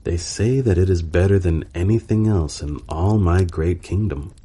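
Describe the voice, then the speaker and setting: A man's voice: low-pitched, moderate pace, dark tone, slightly rough. A man voicing an imperious, indignant fairy-tale emperor in a pompous, commanding manner. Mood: displeased.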